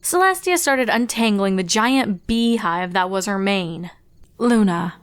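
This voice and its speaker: A woman's voice: medium pitch, expressive, unhurried, a bit bright, clear, resonant tone, dry recording. A woman narrator reading a scripted story aloud. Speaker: fan fiction narrator.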